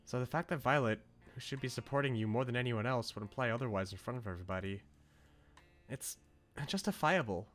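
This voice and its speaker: A man's voice: medium pitch, fairly quick, close to an everyday voice. Man voicing an earnest, devoted young woman. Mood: indignant. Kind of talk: reading visual novel dialogue aloud in character. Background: game music.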